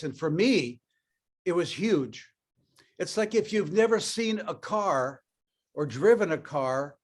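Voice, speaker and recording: An older man, a native Ukrainian speaker, telling a personal business story in a casual conversational way. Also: a little high. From Europe, raised in North America. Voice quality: conversational, storytelling, frequent fillers. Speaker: network marketing speaker. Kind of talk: personal story told to an audience.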